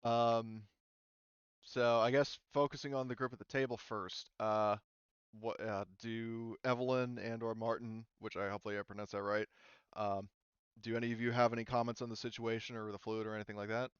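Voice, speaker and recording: Male game master, halting narration with self-corrections, resonant tone, dry and slightly muffled recording. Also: mid-range voice, little pitch movement, clear, resonant tone, no room sound. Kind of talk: narrating a tabletop roleplaying game.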